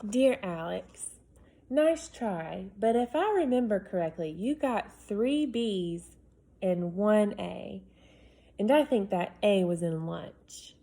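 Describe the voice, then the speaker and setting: A woman voicing a patient father who answers his son's letters with calm, gently teasing replies. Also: mid-range voice, slow pace, vocal fry, heavily acted. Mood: dry. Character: patient, joking father writing back to his son.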